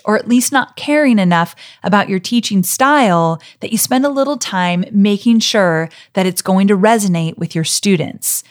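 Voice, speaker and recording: A middle-aged woman hosting a solo podcast, talking straight to her listeners in an encouraging, friendly way. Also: mid-range voice. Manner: warm, encouraging, direct address to listeners. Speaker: podcast host. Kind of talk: solo podcast teaching talk.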